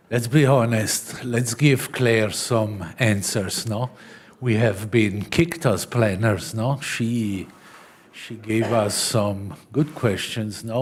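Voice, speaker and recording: A man with an Italian accent giving a seminar presentation in halting English, often adding "no?" as he searches for words. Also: lowish voice. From Switzerland. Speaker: conference speaker.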